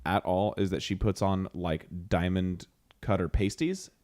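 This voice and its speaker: A man's voice: sits low, steady tone, measured pace, a little mellow. A man chatting casually as a podcast panelist. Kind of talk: casual chat.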